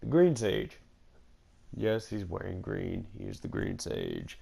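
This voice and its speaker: A man's voice: low-pitched, wildly swooping pitch, takes it slowly, gravelly, warm tone, breathy edge. A young man giving casual, jokey video game commentary with offhand, rambling asides. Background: game music.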